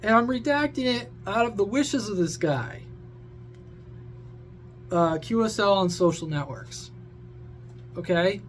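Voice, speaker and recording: A man's voice: high voice, animated, a little slow, long pauses, slight background noise. A man talking to viewers in a casual, opinionated rant, blunt, sweary and rambling, like a hobby radio vlogger.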